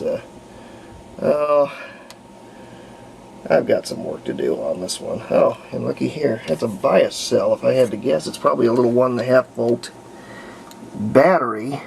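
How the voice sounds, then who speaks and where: A man's voice: mid-range voice, vocal fry, a little mellow, a little hiss or hum, poor audio. A man with a folksy way of talking narrates hands-on repair work casually, thinking aloud. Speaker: radio restoration hobbyist.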